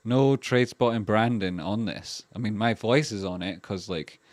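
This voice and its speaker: A Scottish man with a fairly low voice chats casually on a livestream, wandering from thought to thought with fillers, restarts and plenty of swearing. The sound is close and dry, with no room echo. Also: normal speed. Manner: rambling and chatty, many fillers and restarts, swears freely. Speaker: livestream host. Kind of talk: casual livestream chat reading donations.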